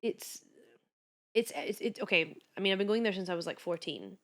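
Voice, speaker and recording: A woman's voice: mid-range voice, moderate pace. Chatty woman in her late thirties. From Kuwait. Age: late 30s. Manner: chatty and animated, many "like"s and restarts. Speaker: podcast guest, wellness coach. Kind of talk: casual chat.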